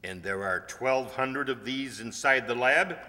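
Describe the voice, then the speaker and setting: An actor playing a pompous, commanding Pentagon chief who speaks formally in a radio play. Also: male voice, medium pitch, measured pace, pushed and bright. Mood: bemused, formal. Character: pompous, official male Pentagon chief chairing a meeting. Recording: echoey hall with long reverb.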